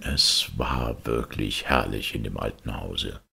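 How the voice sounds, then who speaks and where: A man reading a fairy tale as narrator, expressive, with a gravelly, husky voice. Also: extremely low pitch, slow. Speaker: storyteller, narrator.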